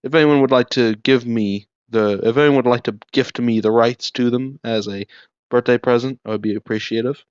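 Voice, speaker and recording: A man's voice: mid-range voice, fairly even intonation, moderate pace, smooth, no echo. A man hosting with a theatrical, scripted introduction. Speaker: host introducing old radio programs. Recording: dry, slightly muffled voice recording.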